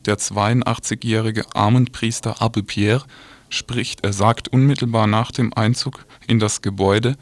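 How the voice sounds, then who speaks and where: A man's voice: on the low side, no room sound. A man reading a scripted radio news report.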